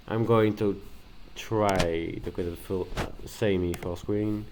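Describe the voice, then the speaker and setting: Male tutorial host, low and unhurried, halting and a little nervous, faint hiss behind. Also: steady tone. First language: Dutch. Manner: nervous, hesitant, with many fillers and restarts. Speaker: tech video host. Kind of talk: casual screen-recording tutorial.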